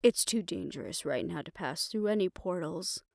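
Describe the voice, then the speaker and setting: A woman playing a tender, world-weary lover in a fantasy audio roleplay, speaking in a measured, reflective and intimate way. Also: lowish voice, expressive, creaky, dry recording, clean studio sound. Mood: measured, resigned. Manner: measured, reflective, formal phrasing, soft hushed moments. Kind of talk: romantic audio roleplay, intimate scripted monologue.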